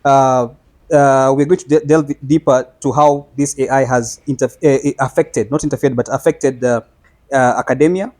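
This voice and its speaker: A man's voice: medium pitch, steady tone, moderate pace, darkish tone. A man in a casual podcast panel discussion, talking with many fillers, repeats and restarts as he introduces the topic.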